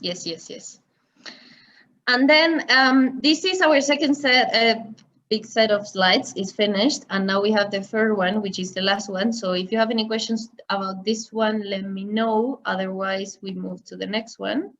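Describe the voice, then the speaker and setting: A woman's voice: medium pitch, steady tone, measured pace, a bit bright, highs cut off. A woman giving an online masterclass on finance, explaining step by step with examples. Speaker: finance trainer. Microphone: video call.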